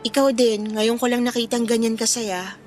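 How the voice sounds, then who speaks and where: An actress playing a considerate, candid young daughter with a Filipino accent in a radio drama. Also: female voice, a little high, fairly even intonation, normal speed, a little hiss or hum, close to an everyday voice. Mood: tender, curious.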